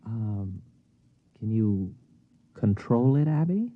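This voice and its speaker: Educated academic, impatient, stammering, very animated pitch, 1950s radio drama style, dull vintage recording. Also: male voice, slow pace, dry recording. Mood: probing, puzzled. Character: educated, excitable, condescending male academic researcher.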